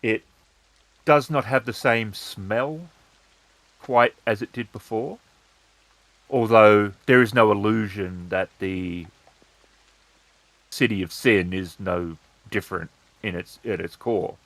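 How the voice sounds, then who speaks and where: A man narrating a tabletop roleplaying game as game master, in a halting, measured way. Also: lowish voice, gravelly, fairly bright tone, no room sound, rough recording.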